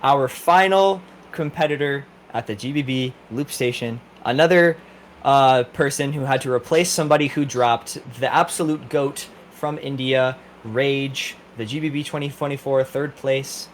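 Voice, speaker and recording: A man's voice: mid-range voice, a little hiss or hum. A man hosting a podcast, giving casual, informal commentary and sounding enthusiastic about competitors. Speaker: podcast host.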